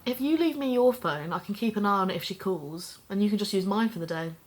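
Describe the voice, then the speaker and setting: A woman's voice: medium pitch, expressive, fast, lightly acted, rough recording. An actress playing a young woman co-host, a bit shaken after an attack yet upbeat.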